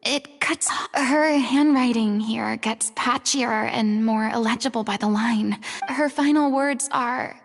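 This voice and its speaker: A woman playing a proud yet tender young stage star in a video game, with dramatic, expressive delivery. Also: a little high, slow pace, in a large hall. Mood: grieving, tender.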